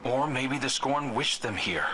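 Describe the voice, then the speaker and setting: An actor playing an earnest, troubled scout in a video game cutscene, thoughtful and wry like a campfire storyteller. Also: male voice, mid-range voice, lively intonation, a little slow, pushed and bright, a little hiss or hum. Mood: wry, regretful.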